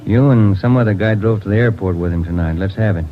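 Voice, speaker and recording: A male actor plays a plainspoken American police detective in a 1940s radio crime drama. His voice sits a little low and sounds dry and matter-of-fact. The old recording is dulled. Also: fast talker, phone-quality sound, slight background noise, close to an everyday voice. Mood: firm.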